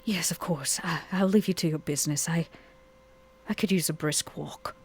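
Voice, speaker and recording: A woman playing a composed, persuasive female vampire club owner in a tabletop game, earnest and measured, with a breathy, husky voice. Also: low-pitched, a little mellow. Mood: weary.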